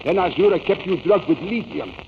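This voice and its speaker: A man's voice: sits high, expressive, brisk. An actor playing an older male scientist mentor, formal, fatherly and urgent, in a 1930s radio serial with tinny, noisy sound. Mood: grave.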